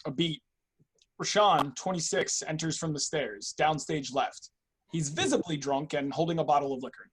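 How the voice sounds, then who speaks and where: Husky man narrating stage directions. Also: on the high side, normal speed. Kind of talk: scripted narration of a play.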